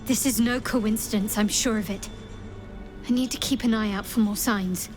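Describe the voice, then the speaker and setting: An actress playing a guarded, devout young woman cleric in a fantasy video game, speaking in a measured, earnest, formal way. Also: medium pitch, steady tone, a little mellow, soft background music, close to an everyday voice. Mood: intent, devout. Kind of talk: video game character dialogue.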